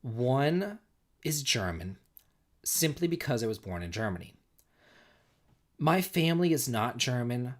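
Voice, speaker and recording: Man in his forties, livestream host, tipsy, rambling, repeats himself, pitch swinging up and down. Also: very animated, a little slow, long pauses. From United States. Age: 40s. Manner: rambling, repeats himself, reads chat aloud. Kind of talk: casual livestream chat and storytelling.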